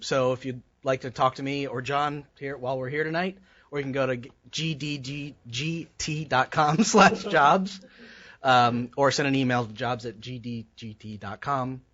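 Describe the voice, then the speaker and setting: Man, hiring pitch, loud and direct, muffled lo-fi recording. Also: steady tone, normal speed. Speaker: attendee making a job announcement. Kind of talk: brief recruiting pitch. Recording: dull lo-fi audio.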